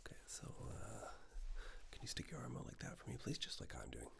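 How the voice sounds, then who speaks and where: A man speaking softly and breathily in an unhurried ASMR roleplay, playing a polite tailor who murmurs instructions with pauses. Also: wildly swooping pitch. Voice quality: soft, breathy, half-whispered low voice. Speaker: ASMR performer playing a tailor taking suit measurements. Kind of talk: soft-spoken ASMR roleplay, personal attention.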